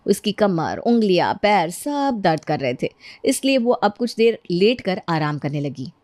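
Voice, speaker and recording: A woman's voice: mid-range voice, big pitch swings, unhurried, darkish tone, no room sound. A woman narrating a scripted cartoon bedtime story as the storyteller.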